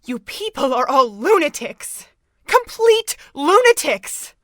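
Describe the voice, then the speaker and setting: A woman's voice: high-pitched, very animated, takes it slowly, fairly bright tone, full character voice. A young woman playing an indignant, frightened bystander caught in a heist, exasperated and incredulous, in an amateur audio drama. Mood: outraged.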